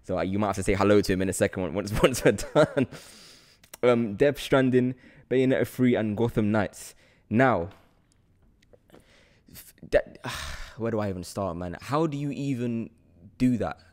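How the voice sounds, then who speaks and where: Excitable young man, lowish voice. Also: unhurried, long pauses. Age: 20s. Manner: effusive, excitable. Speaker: podcast host and actor. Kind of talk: casual interview chat. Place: studio room with large windows. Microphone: podcast mic on boom arm.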